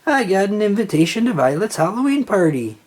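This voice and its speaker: A trusting, hapless young boy from a children's storybook, voiced by a reader. He is naive and excitable, with a slightly husky voice that jumps in pitch. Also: a little fast. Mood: hopeful.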